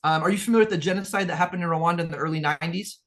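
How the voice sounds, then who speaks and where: A man's voice: fairly high pitch, racing, fairly bright tone. A man from Peru, a guest in an interview, telling his story earnestly in a rambling way, with many restarts. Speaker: guest, business owner.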